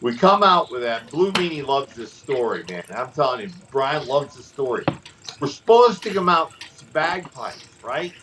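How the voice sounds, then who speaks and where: A middle-aged man and former wrestler hosting a podcast, telling stories in a rambling, animated way with lots of restarts. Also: medium pitch, normal speed, background noise. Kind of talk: casual storytelling chat.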